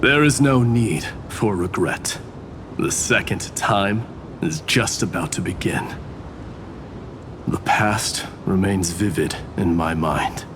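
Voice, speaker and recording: An actor playing a proud, battle-worn god of strife in a video game, with solemn, grandiose declamation. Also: male voice, sits low, takes it slowly, noisy background. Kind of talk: English game voice acting, epic dramatic dialogue. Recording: very loud music behind the voice.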